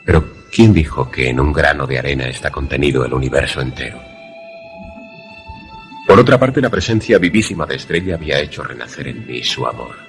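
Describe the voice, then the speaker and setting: A man's voice: rumbling low, expressive, brisk, soft background music. A man playing a brooding, lovelorn former student who narrates a suspense radio drama in a grave, literary manner. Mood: troubled, lovelorn.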